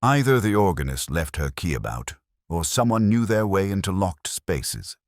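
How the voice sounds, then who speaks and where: A man's voice: sits low, very animated, moderate pace, no room sound, clean studio sound, pitched above the performer's own voice. A man playing an eager, earnest, plain-spoken police sergeant in a mystery story. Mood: puzzled, eager.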